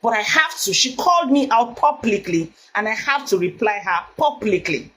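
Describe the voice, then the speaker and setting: A Nigerian woman with a loud voice, talking on a live video in an emphatic way and repeating her points. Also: fairly high pitch, expressive, moderate pace. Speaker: vlogger.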